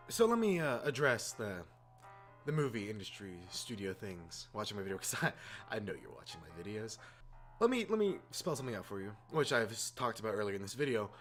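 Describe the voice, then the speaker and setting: A man's voice: mid-range voice, a bit bright. A man hosting a casual opinion rant, exasperated and informal, repeating words for emphasis. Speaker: YouTube host. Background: background music.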